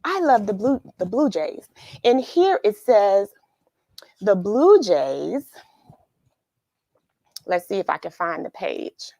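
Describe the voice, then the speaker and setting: A woman's voice: mid-range voice, sing-song, takes it slowly, vocal fry, long pauses, dark tone, no room sound. A woman hosting a children's read-along, chatting casually and expressively to young viewers and musing aloud as she addresses them. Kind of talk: casual chat to young viewers after a story reading. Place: at home.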